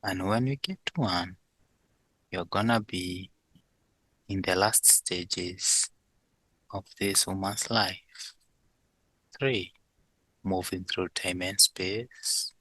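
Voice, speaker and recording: A man working as a hypnosis session guide, leading and questioning in a deliberate, soothing, measured way. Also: low voice, fairly even intonation, pushed and bright, slightly rough, no room sound, rough recording.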